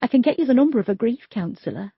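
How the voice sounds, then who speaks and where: A woman's voice: on the high side, lively intonation, quick pace, vocal fry, slightly rough, no echo, lo-fi sound, pitched above the performer's own voice, heavily acted. A woman voicing a polite, unsure young policewoman who talks in a placating, hesitant way. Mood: gentle.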